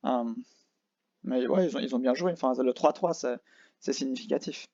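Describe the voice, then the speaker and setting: A young man with a Geneva Swiss accent in French rambles through a casual livestream, drifting between French and English and restarting his sentences often. His voice sits at an ordinary pitch. The recording is dry and a little dull and lo-fi. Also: brisk, a little mellow, dry recording. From France near Switzerland. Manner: rambling, restarts, switches language. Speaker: livestream host.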